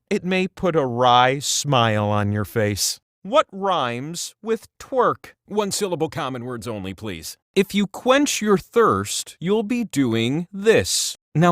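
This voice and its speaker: An actor playing a quirky, excitable trivia host in a party video game, with a theatrical, playful delivery and exasperated asides. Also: male voice, mid-range voice, dry recording, clean studio sound. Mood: playful, quizzing. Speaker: game show host character. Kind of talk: quiz hint narration for a party video game.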